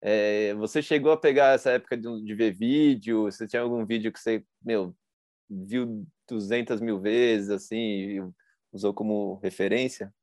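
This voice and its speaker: A man's voice: lowish voice, steady tone, normal speed, a little mellow, dry recording, studio quality. A Brazilian man hosting a podcast, chatty and slangy, asking his guest questions with enthusiasm. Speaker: podcast host. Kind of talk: casual interview chat.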